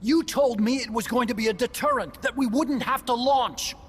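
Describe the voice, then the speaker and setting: A man's voice: very high-pitched, moderate pace, fairly bright tone. An actor playing an anxious, indignant male scientist protesting in an agitated way in a video game cutscene. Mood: indignant, pleading. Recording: long hall reverb, faint noise.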